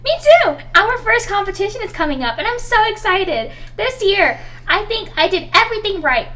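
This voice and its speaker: A woman playing an eager, friendly student who loves cars in a radio drama, excitable, chatty and earnest. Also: very high voice, normal speed, heavily acted. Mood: excited, friendly. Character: eager, friendly female student, keen car enthusiast.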